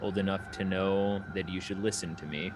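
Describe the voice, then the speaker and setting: A man's voice: low-pitched, steady tone, moderate pace, background noise, pitched below the performer's own voice, natural voice. A man playing a cagey, smug guide who gives evasive, cryptic answers in a tabletop game. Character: cagey, smug male stranger guiding adventurers, evasive.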